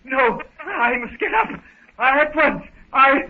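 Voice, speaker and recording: An actor playing a frail, distraught elderly professor and doting father in a 1930s radio serial, halting and quavering. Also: very high-pitched, lively intonation, phone-quality sound, exaggerated voice. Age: older. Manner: flustered, halting, repetitive. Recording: scratchy, thin 1930s radio recording.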